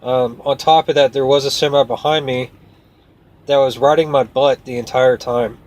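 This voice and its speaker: A man's voice: mid-range voice, steady tone, moderate pace, a little mellow, muffled recording. A man who works as a truck driver tells a story casually, in a folksy, rambling and earnest way. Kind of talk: casual first-person storytelling to camera.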